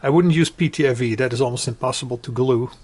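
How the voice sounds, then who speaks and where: A man giving a casual, unscripted hobby tutorial, conversational and hesitant, often pausing mid-sentence. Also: mid-range voice, darkish tone, breathy edge. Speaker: hobbyist demonstrating a build. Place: indoors at home.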